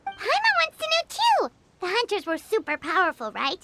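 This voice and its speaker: Squeaky, very high little fairy girl. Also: huge pitch swings, normal speed, pushed and bright, slight background noise, full character voice. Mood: curious, eager. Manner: refers to self in third person, exclaiming, eager. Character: tiny childlike fairy companion girl, chirpy and curious. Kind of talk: animated video game voice acting.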